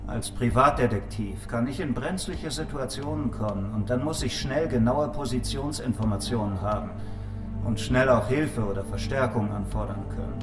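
An actor playing a world-weary private detective, narrating and speaking in a noir audio drama in a dry, sardonic way. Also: male voice, mid-range voice, normal speed, natural voice. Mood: cool, wry. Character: wry, world-weary male private detective in futuristic city. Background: music.

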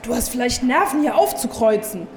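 A woman's voice: on the high side, lively intonation, fairly quick, lightly acted. An actress playing a furious, hostile, cutting woman in an audio drama. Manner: indignant, cutting. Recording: echoey room with crowd murmur behind.

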